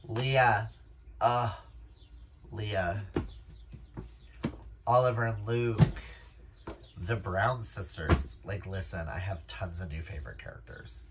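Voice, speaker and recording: A man's voice: fairly low pitch, takes it slowly, long pauses, very noisy. A man chatting casually as a book vlogger, in a gushing, emphatic and exclamatory manner. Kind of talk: casual chat to camera.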